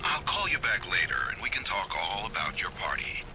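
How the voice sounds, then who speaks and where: Lively, sly, low-voiced conspirator. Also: male voice, a little fast, background noise. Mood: sly, amused. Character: sly male conspirator talking in mocking code. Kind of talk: video game cutscene acting.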